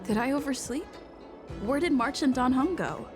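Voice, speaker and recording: A woman's voice: sits high, animated, normal speed. An actress voicing a casual young woman adventurer in a game cutscene. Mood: drowsy. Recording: prominent music behind the voice.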